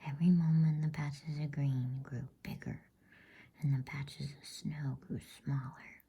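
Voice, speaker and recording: A woman reading a novel aloud as a bedtime story in a hushed, unvoiced ASMR whisper. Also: very deep voice, little pitch movement, a little slow, breathy edge.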